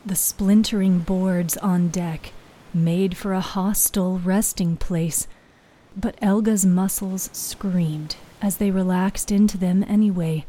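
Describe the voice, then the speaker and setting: A woman's voice: mid-range voice, mellow. A woman narrating scripted fiction in a dramatic storytelling style. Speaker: author and narrator.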